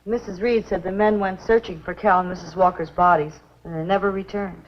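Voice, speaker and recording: A woman's voice: fairly low pitch, steady tone, fairly quick, close to an everyday voice. An actress playing an anxious young woman, a doctor's daughter, in a 1950s B-movie. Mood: worried. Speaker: film actress. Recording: muffled, noisy old 1950s film soundtrack.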